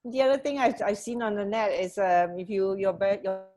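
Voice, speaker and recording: A middle-aged woman co-hosting a casual chat on a video call, speaking in a halting, informal way. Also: mid-range voice, music in the background. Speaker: radio co-host. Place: indoor room at home, on a video call. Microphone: earphone headset on video call.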